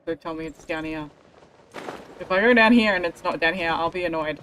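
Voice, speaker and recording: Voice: medium pitch, brisk, a bit bright, slight background noise, poor audio. A game livestreamer giving casual commentary in a muttering, self-questioning manner.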